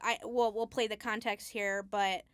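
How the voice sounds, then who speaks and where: A woman's voice: medium pitch, little pitch movement, moderate pace, fairly bright tone, clean tone. A woman chatting informally as an interview guest, thinking aloud about her craft in an unscripted way. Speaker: Foley artist, podcast guest. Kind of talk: casual interview chat.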